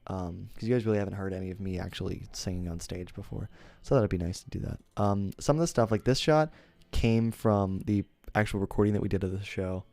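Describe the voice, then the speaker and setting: Low-voiced young man, dry sound. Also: fast, vocal fry, darkish tone, dry recording. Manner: rambling, self-correcting, laughs often. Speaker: streamer. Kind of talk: casual stream commentary.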